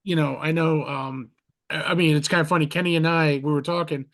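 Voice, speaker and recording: A man hosting a podcast over a video call, rambling warmly, restarting his sentences and heaping compliments on his guests. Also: fairly high pitch, fairly even intonation, a little fast. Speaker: podcast host. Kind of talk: casual interview chat.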